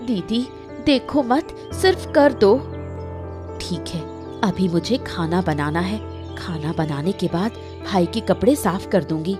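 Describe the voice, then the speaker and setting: A woman's voice: lowish voice, expressive, slow pace, over music. A woman voicing a bickering village sister-in-law, pointed and argumentative, in a cartoon story. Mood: nagging, demanding, then reluctant.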